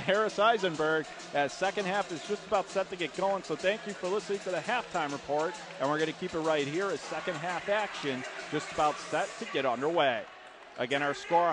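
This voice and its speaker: High-pitched man calling live basketball. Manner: animated, calls action in clipped bursts. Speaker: play-by-play commentator. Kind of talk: live basketball radio commentary. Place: indoor basketball arena.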